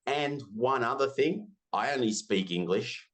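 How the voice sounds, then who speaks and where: A man's voice: mid-range voice, expressive, a little slow, a bit bright. A man in his fifties with an Australian accent, chatting freely as an interview guest, self-deprecating and full of asides. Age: 50s. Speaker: interview guest, business software community founder. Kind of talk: casual interview chat.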